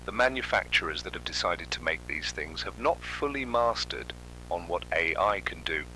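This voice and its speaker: A man's voice: low voice, bright, forceful tone, slight background noise, exaggerated voice, low-quality recording. A man playing a weary, fearful witness in a resigned, hesitant analog horror monologue. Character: weary, fearful witness lamenting a world gone wrong.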